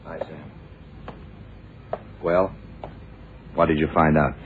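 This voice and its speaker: An actor playing a shrewd, dogged FBI investigator in a radio crime drama, terse and deliberate. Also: male voice, a bass voice, steady tone. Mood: brooding. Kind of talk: 1960s radio drama acting. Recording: muffled, noisy old radio broadcast recording.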